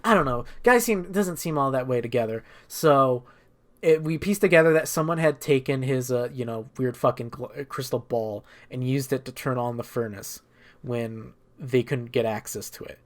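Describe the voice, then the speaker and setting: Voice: mid-range voice, normal speed. A speaker giving a casual, improvised story recap as a gaming streamer, rambling and irreverent with plenty of swearing.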